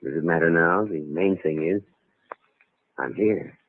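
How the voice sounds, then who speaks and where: An actor playing a calculating drifter in a vintage radio drama, measured and terse in a hard-boiled style. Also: male voice, low-pitched, no echo. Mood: soothing, guarded. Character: calculating male drifter narrating his crime, posing as heir. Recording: tinny vintage radio recording, music behind.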